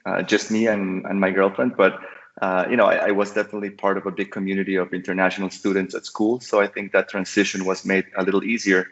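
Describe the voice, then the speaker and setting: A man's voice: sits low, level tone, fast talker, fairly bright tone, muffled recording. A man, a guest entrepreneur speaking casually and thoughtfully with many fillers and restarts. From Costa Rica. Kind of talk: casual interview talk. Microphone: call audio.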